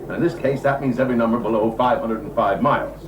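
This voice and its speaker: An actor playing a brisk, formal male auctioneer in a television drama, projecting and repeating figures in auction patter. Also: medium pitch, highs cut off, lightly acted. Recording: noisy old TV soundtrack.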